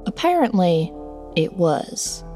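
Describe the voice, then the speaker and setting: A woman narrating a scripted first-person story in a measured, wry storytelling manner. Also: lowish voice, sing-song, dark tone, soft background music.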